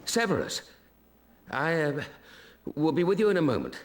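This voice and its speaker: An actor playing a gentle, weary male teacher in a video game, speaking in a hesitant, soft-spoken way. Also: on the high side, wildly swooping pitch, normal speed.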